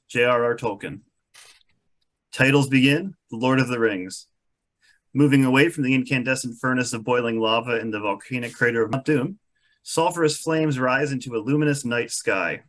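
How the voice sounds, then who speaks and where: A man narrating screenplay stage directions at a table read in a measured storytelling manner. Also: mid-range voice, fairly bright tone.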